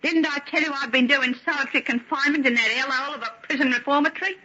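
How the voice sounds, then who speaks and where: A woman's voice: sits high, racing, natural voice. An actress playing a brash, restless working-class runaway woman with an exclamatory, colloquial manner in an old radio drama. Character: rough-edged working-class runaway woman, wide-eyed, cheeky, restless. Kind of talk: golden age radio drama acting. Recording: dull, noisy vintage radio recording.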